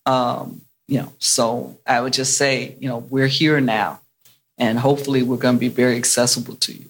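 A man with a medium-pitched voice talks off the cuff on a panel. His delivery is somewhat halting, with words repeated as he goes. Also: level tone. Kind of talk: spontaneous panel talk.

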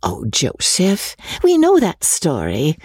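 An affectionate young gentlewoman in a storybook, voiced by a woman reader. She is earnest and pleading, with strongly varied pitch and a soft husky tone. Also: huge pitch swings, slow pace, dry recording, studio quality, heavily acted.

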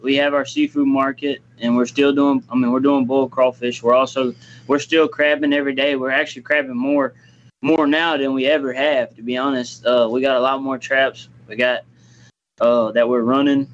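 A man's voice: medium pitch, little pitch movement, normal speed, muffled recording, slight background noise. Laid-back young man in his twenties. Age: 20s. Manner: laid-back, restarts phrases, polite "yes, sir". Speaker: guest, young business owner. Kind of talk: casual interview answers.

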